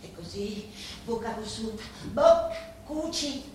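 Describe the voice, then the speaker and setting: A woman acting a grieving, vengeful daughter in a theatrical monologue, with a Sicilian accent and halting, incantatory delivery full of repeated words. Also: fairly high pitch, lively intonation, a little mellow. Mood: bitter, defiant. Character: grieving, vengeful daughter of murdered parents, confiding in a boss. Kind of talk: theatrical dramatic monologue in dialect-laced Italian. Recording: echoey, distant, faintly noisy stage recording.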